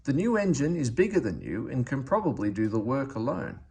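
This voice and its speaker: A man voicing an authoritative but kindly railway boss in a storybook, brisk and commanding. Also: mid-range voice, big pitch swings, vocal fry, a little mellow, slightly rough, exaggerated voice. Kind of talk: storybook character voice.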